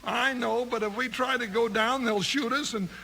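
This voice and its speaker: An actor playing a good-natured, grumbling cowboy sidekick with a folksy American drawl in a 1950s radio western. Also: male voice, extremely high pitch, steady tone, normal speed, vocal fry, bright, forceful tone, exaggerated voice. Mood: comic worry. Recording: noisy, clicky 1950s radio recording.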